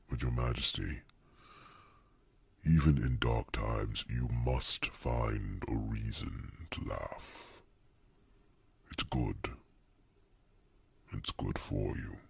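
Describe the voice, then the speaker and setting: A man playing an ancient, kindly, wry druid mentor in a fantasy ASMR roleplay, with a breathy, gravelly rasp and deliberate, theatrical pauses. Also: a bass voice, exaggerated voice. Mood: wry, gentle, hushed.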